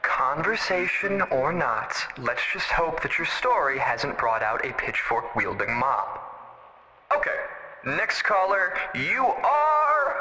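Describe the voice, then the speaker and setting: An actor playing a late-night radio call-in host who is lively and often exasperated. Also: male voice, on the high side, slow pace, echoey room, a little hiss or hum, exaggerated voice, poor audio.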